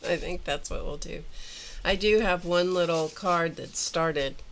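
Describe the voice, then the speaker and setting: Woman, low-pitched, congested, chatty craft host, faint background noise. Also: a little slow. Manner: chatty, thinking aloud while working. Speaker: livestream art host. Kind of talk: casual craft livestream chat. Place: home art studio.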